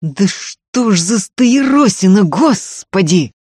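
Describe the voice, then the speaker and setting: A narrator playing a hot-tempered, rough young peasant man in a dramatic audiobook. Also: medium pitch, normal speed, dry recording, studio quality, lightly acted. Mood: furious. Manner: yells and hisses through gritted teeth.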